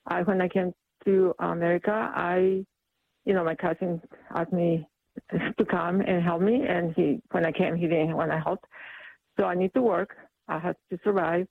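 Radio caller: a woman, halting and indignant, gravelly voice over a tinny phone line. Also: on the low side, steady tone, no room sound. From El Salvador. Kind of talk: call-in radio talk. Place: calling in by phone.

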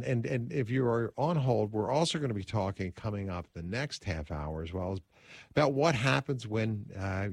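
Host: a brisk, assured man with a lowish voice and a dry, close studio sound. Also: a little mellow, no echo. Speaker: radio talk show host. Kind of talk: talk radio hosting.

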